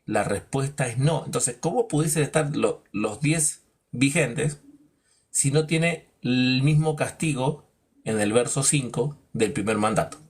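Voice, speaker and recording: Male teacher of scripture, emphatic and rhetorical, even middle-pitched voice. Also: measured pace, slightly rough. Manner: rhetorical, acts out imagined dialogues; emphatic, drops low on verdicts. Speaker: Bible teacher. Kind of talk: preaching-style Bible lesson.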